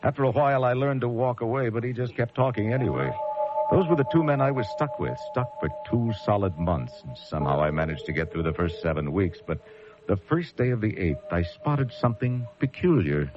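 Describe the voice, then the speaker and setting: An actor playing a wry, world-weary American lighthouse keeper, narrating a horror tale with deliberate, dramatic delivery. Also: male voice, on the low side, lively intonation, close to an everyday voice. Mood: grim, suspenseful. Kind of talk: first-person radio drama narration. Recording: muffled radio drama recording with music.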